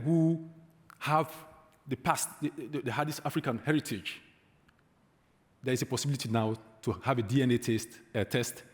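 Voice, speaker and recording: Man speaking on stage in a reverberant hall. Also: mid-range voice. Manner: measured, lists points one by one. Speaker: panelist. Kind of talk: panel discussion.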